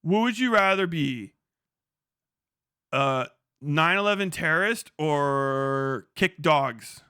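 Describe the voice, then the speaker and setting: A man's voice: fairly high pitch, slow, smooth, no room sound. Guy streamer ranting and cussing, voice jumps all over, super dry close sound. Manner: profane, excitable, laughs a lot. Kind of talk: casual livestream chat.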